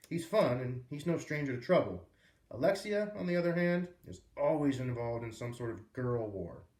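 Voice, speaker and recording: A man reading a children's book aloud as a storyteller, with expressive, emphatic phrasing. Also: mid-range voice. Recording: roomy echo, distant microphone.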